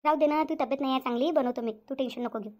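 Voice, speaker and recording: A woman's voice: high voice, very fast, a little mellow, lightly acted. A woman voicing a harried, dutiful elder sister-in-law in a Marathi cartoon, resigned and chatty, with a rural Varhadi accent. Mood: dutiful, accommodating. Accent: rural Maharashtra, Varhadi Marathi. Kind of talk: domestic cartoon story dialogue.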